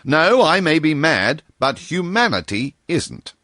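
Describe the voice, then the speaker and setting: A man voicing a jovial, boisterous young male doctor, loud and exclamatory. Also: fairly high pitch, very animated, slow, no room sound, clean studio sound, pitched above the performer's own voice, full character voice. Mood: emphatic.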